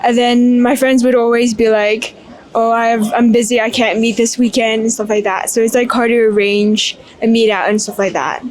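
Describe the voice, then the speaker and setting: A teenage girl from Indonesia, giving soft-spoken, brief interview answers filled with "like.". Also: a little high, flat delivery, normal speed, rough recording. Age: teens. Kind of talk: casual chat.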